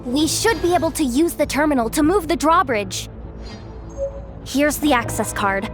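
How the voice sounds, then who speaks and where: A resourceful young infiltrator talks briskly in a high voice that moves lively up and down. She takes charge and murmurs asides to herself. Faint game music sits behind her. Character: quick-witted young woman infiltrator, resourceful. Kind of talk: video game cutscene dub.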